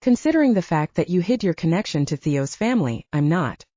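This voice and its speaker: A woman's voice: fairly low pitch, very animated, fairly quick, warm tone, no room sound, lo-fi sound. A woman voicing a guarded, protective, wealthy alpha werewolf man in an audiobook. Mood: firm, defensive. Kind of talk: audiobook character dialogue.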